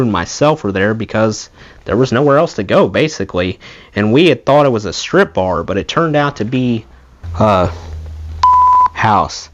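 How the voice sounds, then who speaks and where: A man reading a rock memoir aloud in an expressive storytelling way. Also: mid-range voice, dull audio. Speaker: audiobook reader, channel host.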